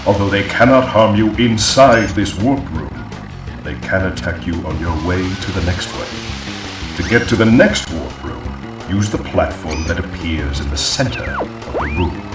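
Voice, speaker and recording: An actor playing a pompous, scheming mad scientist villain in a video game cutscene, grandiose and theatrical. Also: male voice, low voice, big pitch swings, moderate pace. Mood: businesslike. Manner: theatrical, pompous, exasperated outbursts. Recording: roomy, distant, music underneath. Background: music.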